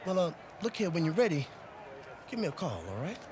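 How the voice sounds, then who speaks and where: An actor playing an eager, chummy middle-aged recruiting analyst in a video game cutscene. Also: male voice, a little high, big pitch swings, brisk. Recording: noisy outdoor stadium ambience.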